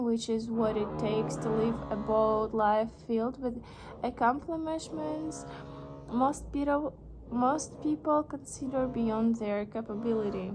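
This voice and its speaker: Slow-reading young woman, Russian native. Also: on the high side. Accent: Russian, reading English with a slight American tinge. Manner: slow, halting reading with restarts and puzzled asides. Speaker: vlogger. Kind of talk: reading aloud and chatting.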